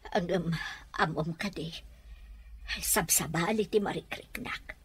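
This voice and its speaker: A woman playing a worried wife in a radio drama, with a husky voice and an Ilocano Filipino accent. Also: fairly low pitch, unhurried, exaggerated voice. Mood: anxious.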